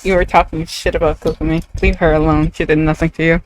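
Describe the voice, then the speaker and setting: Girl streamer just chatting over a game, rambly and giggly, kinda lowish for a woman. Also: flat delivery, quick pace. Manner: giggly, rambling, swears casually. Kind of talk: casual chat while gaming.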